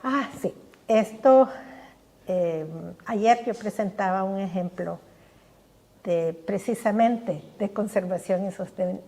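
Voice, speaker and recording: An older woman answering interview questions with eager enthusiasm. Her voice has a warm, mellow tone, and she trips over repeated words as she searches for what to say next. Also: medium pitch, slow. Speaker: guest scientist. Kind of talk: podcast interview.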